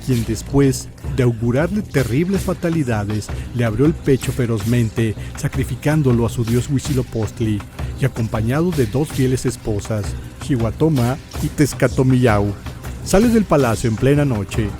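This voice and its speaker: A man's voice: mid-range voice, lively intonation, normal speed, over music. A man with a Mexican accent reading scripted historical narration in a solemn, theatrical, literary manner.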